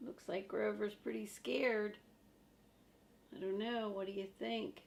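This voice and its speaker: An older woman reading a children's book aloud, chatting to young listeners in simple, gentle phrases. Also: mid-range voice, steady tone, measured pace, vocal fry, slight background noise. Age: older, a grandmother.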